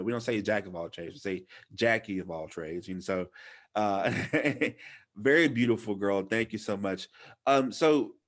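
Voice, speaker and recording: A man in his thirties from Louisiana hosting a casual interview in an informal, slangy, effusive way. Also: fairly low pitch. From Louisiana, United States. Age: 30s. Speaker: podcast host, former DJ.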